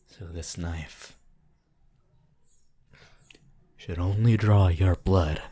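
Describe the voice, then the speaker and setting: A woman's voice: rumbling low, husky, exaggerated voice. A woman playing an obsessive, possessive yandere lover in an ASMR roleplay, clingy and unhinged. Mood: possessive, threatening. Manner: clingy, unhinged, swinging from sing-song pleading to hushed threats. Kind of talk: ASMR boyfriend roleplay audio.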